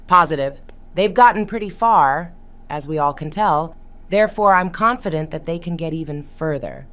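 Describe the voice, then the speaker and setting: Woman playing a calm, commanding team leader. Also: sits low, moderate pace. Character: confident adult female monster fighter mentoring kids. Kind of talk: cartoon comic dub acting.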